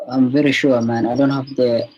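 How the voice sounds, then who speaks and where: A man's voice: mid-range voice, little pitch movement, brisk, dull audio. A man in a heated debate, defending and explaining his view and complaining about being cut off.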